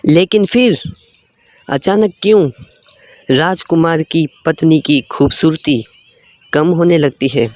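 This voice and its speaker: A narrator tells a scripted moral story at a slow pace. The voice sits in a middle pitch and is recorded very dry. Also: a little mellow, dry recording.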